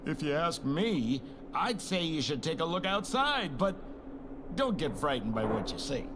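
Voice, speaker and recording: Sly dream guide, video game dialogue, swooping intonation, teasing, unhurried, clear background noise. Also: male voice, fairly high pitch, very animated.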